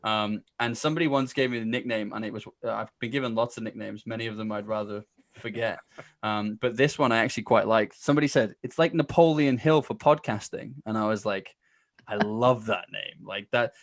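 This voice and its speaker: A man's voice: fairly low pitch, fairly quick, dry recording, studio quality. A man speaking as a podcast guest in a casual, chatty storytelling style, acting out bits of conversation as he goes. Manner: chatty, informal, acts out quoted exchanges.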